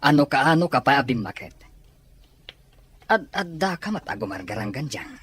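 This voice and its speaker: A man's voice: medium pitch, sing-song, normal speed, fairly bright tone, husky, soft background music. A man with an Ilocano accent playing a jealous, stubborn jobless husband who argues and needles in a radio drama. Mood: taunting.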